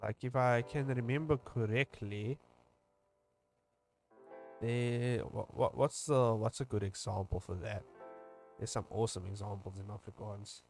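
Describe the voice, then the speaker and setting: A man's voice: lowish voice, steady tone, darkish tone, faint music behind. Rambling South African man streaming. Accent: South African English. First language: English. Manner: rambling, halting, many fillers and restarts. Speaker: webcomic streamer. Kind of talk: casual livestream chat while drawing.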